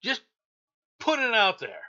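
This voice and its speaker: A man's voice: extremely high pitch, huge pitch swings, measured pace, sharp tone, muffled recording. A male talk show host giving blunt, opinionated news commentary, repeating himself for emphasis.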